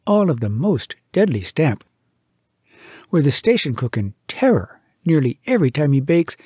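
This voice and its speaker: Male poetry reader, theatrical and measured, animated intonation, dry sound. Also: on the high side, slightly rough, dry recording. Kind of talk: dramatic storytelling recitation of humorous verse.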